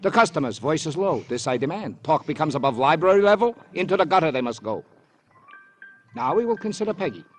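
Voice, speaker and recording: An actor playing a fussy, self-righteous bar owner in an old radio crime drama, pompous and long-winded, with formal turns of phrase. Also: male voice, fairly high pitch, very animated, fairly quick, faint music behind. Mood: self-righteous, insistent. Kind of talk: 1950s American radio crime drama acting.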